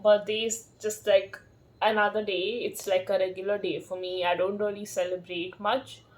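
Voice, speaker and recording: A woman in her thirties chatting casually to camera at home, slow and rambling, with many fillers and soft laughs. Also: mid-range voice, flat delivery, low-quality recording. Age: 30s. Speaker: vlogger.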